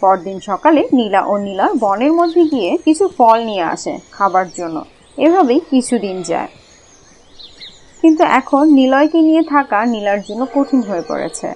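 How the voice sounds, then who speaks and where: A woman's voice: a little high, big pitch swings, moderate pace, darkish tone. A woman narrating a scripted fairy-tale cartoon as a storyteller.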